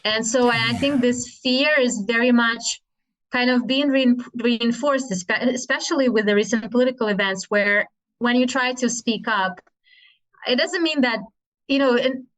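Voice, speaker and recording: A woman's voice: on the high side, unhurried, poor audio. A woman from Russia with a Russian accent, speaking thoughtfully as a guest in a casual spiritual conversation, often restarting her sentences. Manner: thoughtful, with frequent restarts and soft laughs.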